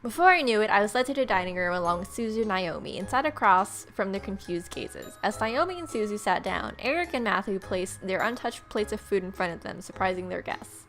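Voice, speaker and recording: A woman plays host to a story game, reading its narration aloud. She drops in jokey, informal remarks as she goes. Her voice sits at an average pitch, with game music underneath. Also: animated, fairly quick, a bit bright. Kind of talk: playful gaming commentary mixed with story read-aloud.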